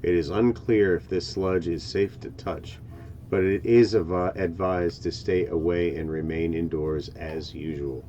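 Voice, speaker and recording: Low-voiced male, casual reaction host who stumbles as he goes, some background noise. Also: fairly even intonation, measured pace, warm tone, muffled recording. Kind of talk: casual reaction commentary, reading on-screen news text aloud.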